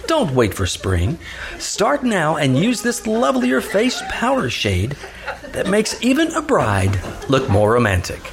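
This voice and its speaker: A man's voice: fairly high pitch, wildly swooping pitch, unhurried, vocal fry. A man reading a 1940s-style radio advertisement as commercial announcer.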